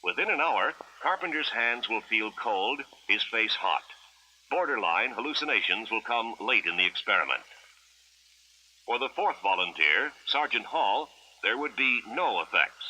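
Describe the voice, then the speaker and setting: A man with an American accent narrates a 1960s government educational film in a formal, clinical way. His voice is forceful and piercing with a husky grain, and his pitch moves in lively swings over an old film soundtrack. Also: a little slow, rough recording.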